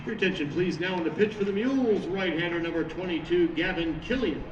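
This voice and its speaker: A man making formal ballpark announcements over a stadium public address loudspeaker. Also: high-pitched, a little fast. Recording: distant, echoey, muffled PA with music.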